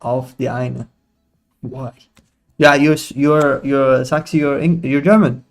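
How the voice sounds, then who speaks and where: A man's voice: medium pitch, fairly even intonation, slow, faint music behind. A young man hosting a live trading stream, joking with chat in slang and explaining chart setups in loose bursts. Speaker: livestream trading host.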